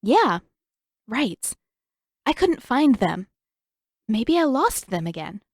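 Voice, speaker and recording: A woman's voice: on the high side, very animated, slow, no room sound, studio quality, voice pushed up, heavily acted. A woman voicing a sarcastic, stubborn teenage girl in an audio story, emphatic and defensive.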